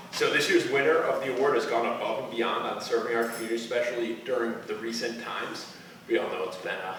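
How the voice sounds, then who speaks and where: A man's voice: mid-range voice, a bit bright. A man presenting an award at a community dinner, hesitant with many uhs and restarts. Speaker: real estate agent presenting an award. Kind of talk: awards night speech. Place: banquet room at an awards dinner. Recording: distant echoey hall with noise.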